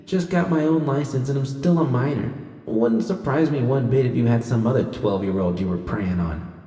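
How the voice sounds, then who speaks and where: A man's voice: medium pitch, moderate pace, warm tone, echoey room, big-room sound, distant mic, pitched above the performer's own voice, natural voice. A man voicing a hostile, wounded teenage girl in an audiobook, bitter and accusing. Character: hostile, wounded sixteen-year-old girl in bitter argument. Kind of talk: audiobook character dialogue.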